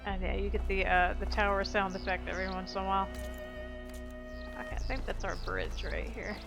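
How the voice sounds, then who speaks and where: Female gameplay host, mid-pitched, casual play-along commentary over prominent game music. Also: fairly even intonation, a little fast, vocal fry. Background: game music and sound effects.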